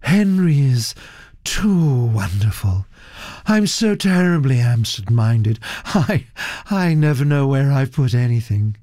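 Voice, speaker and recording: A gushing, absent-minded actress who murmurs slowly and sweetly, as a man reads her part. Her words drift out in a low, contented tone. The recording is dry and close. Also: expressive, warm tone, dry recording.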